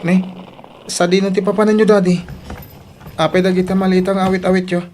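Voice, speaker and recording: A man's voice: sits high, level tone, brisk, darkish tone, close to an everyday voice. A man acting an earnest, hurt elder brother in an Ilocano audio drama, speaking somberly with an Ilocano accent. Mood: grave, reproachful.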